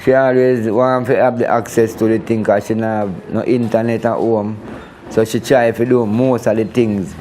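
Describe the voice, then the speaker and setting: A man's voice: lowish voice, steady tone, moderate pace, mellow, slight background noise. A man with a Jamaican accent explaining conversationally in an interview, in everyday dialect. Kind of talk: conversational recollection.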